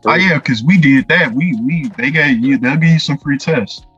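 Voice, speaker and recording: A man's voice: a little high, moderate pace, fairly bright tone, slightly rough, lo-fi sound, soft background music. A man co-hosting a casual podcast chat, laid-back and agreeable. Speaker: podcast co-host.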